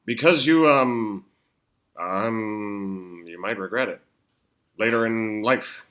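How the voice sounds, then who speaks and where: A woman's voice: very deep voice, takes it slowly. A woman voicing a nervous, awkward teenage boy in a comic table read, hesitant and stammering. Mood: alarmed.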